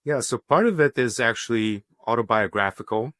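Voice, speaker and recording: A man from Bulgaria, a guest professor, answering interview questions in a measured, explanatory way with frequent pauses and "um". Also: medium pitch, fairly bright tone, dry recording, studio quality.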